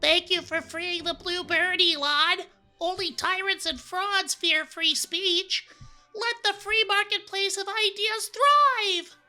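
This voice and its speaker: An extremely high, piercing voice as a gushing internet troll in a satirical reading. The delivery is slow and full of exaggerated earnestness. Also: female voice, steady tone, bright, forceful tone, soft background music, full character voice. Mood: mock earnest. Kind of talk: satirical reading of a social media post.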